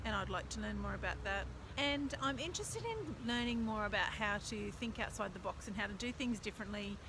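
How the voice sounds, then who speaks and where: A woman's voice: a little high, noisy background. A woman in her forties with an Australian accent, an English teacher chatting casually and upbeat to camera for her video channel. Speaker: teacher vlogger.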